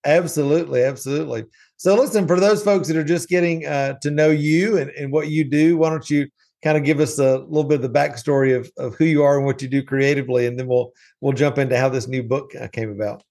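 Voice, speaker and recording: A man's voice: on the high side, fairly even intonation, fast, vocal fry, a little mellow. A man hosting a podcast, upbeat and friendly, leading the interview with his guest. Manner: upbeat, friendly, uses fillers. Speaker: podcast host.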